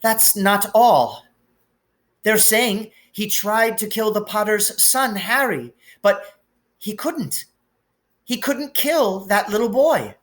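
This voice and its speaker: Give the storybook's older witch professor, as played by a man reader, a high, slow voice. She is a stern, anxious woman, easily astonished and quick to disapprove. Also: lively intonation, fairly bright tone, heavily acted. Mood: trembling, grave.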